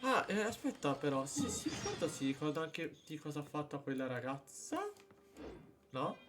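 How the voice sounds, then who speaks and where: A man's voice: a little high, lively intonation, brisk, over music. A man with an Italian accent giving casual, musing live game commentary, repeating himself as he goes. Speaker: game streamer. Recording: clear noise with clicks.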